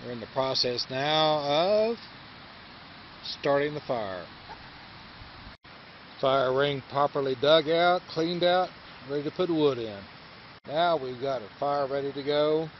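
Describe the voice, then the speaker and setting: A man's voice: fairly high pitch, slow, pauses often. Chatty man filming a campsite. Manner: chatty, explaining step by step to viewers. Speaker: camper filming a vlog. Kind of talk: casual narrated home video tour. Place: outdoor forest campground by a river. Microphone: handheld camera mic. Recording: dull home-video audio with faint outdoor hiss.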